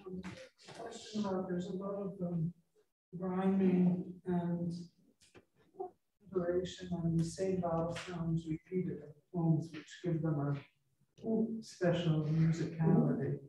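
An audience member asks a question very slowly, with a dark, mellow voice and deliberate wording. The voice comes from a distance in a very echoey hall with faint noise. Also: medium pitch, little pitch movement.

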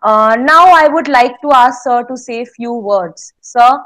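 A woman's voice: on the high side, slow pace, fairly bright tone. A young woman interviewing a guest over a video call from a small room at home, asking questions in a polite, formal way. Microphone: laptop webcam on video call.

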